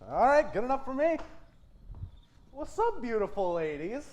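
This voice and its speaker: A man's voice: extremely high pitch, big pitch swings, moderate pace, darkish tone. A man playing a cocky, easygoing, argumentative young worker in a staged play reading. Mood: cocky banter.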